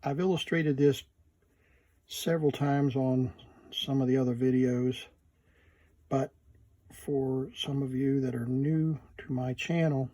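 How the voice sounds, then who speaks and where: A man gives a casual, hands-on repair demonstration for his video channel. His delivery is very slow, as if murmuring along to himself while he works. The voice is soft-edged and mellow, a little husky, with very little room sound. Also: medium pitch, fairly even intonation, dry recording.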